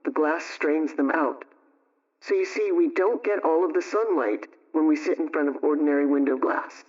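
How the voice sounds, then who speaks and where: A woman playing a practical radio homemaking adviser, explaining things in a measured, instructive way in the style of a 1920s broadcast. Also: sits low, a little mellow, far from the mic, natural voice. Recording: muffled radio sound, off mic.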